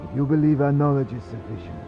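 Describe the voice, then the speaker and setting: An actor playing a hostile, cold alien war commander in a fantasy game, speaking in an imperious, formal, clipped way. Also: male voice, mid-range voice, lively intonation, fast talker, vocal fry, muffled recording, over music. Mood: cold, commanding. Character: hostile, militaristic Githyanki commander, cold and contemptuous. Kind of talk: fantasy video game acting.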